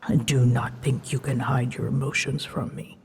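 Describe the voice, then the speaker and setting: A woman voicing an ancient, huge female dragon in a scripted roleplay drama, haughty and easily flustered, scolding her rider in formal, measured speech with hidden fondness. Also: a bass voice, level tone, darkish tone, full character voice. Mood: stern, teasing. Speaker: voice actor. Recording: long reverb, clear background noise.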